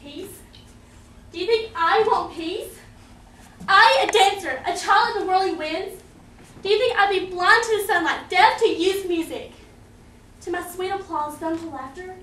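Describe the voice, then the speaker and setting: Woman as impassioned young dancer, very high-voiced. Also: measured pace, long pauses, bright, forceful tone, heavily acted. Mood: anguished. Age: young adult. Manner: declamatory, impassioned. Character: young female dancer, passionate, melodramatic. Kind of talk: amateur stage play acting. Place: indoor theatre stage. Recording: echoey stage, distant camera mic, faint noise.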